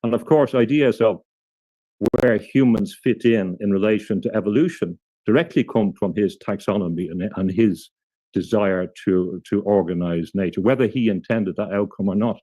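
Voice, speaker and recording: Guy with a low warm voice, dry close sound, explaining stuff slow and halting, lots of restarts. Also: no room sound. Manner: thoughtful, halting, frequent restarts. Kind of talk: explanatory interview talk.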